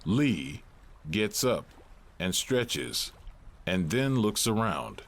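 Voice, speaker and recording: A man's voice: lowish voice, very animated, drawn-out words, a little hiss or hum. A man narrating the stage directions of an audio play in a scripted reading style.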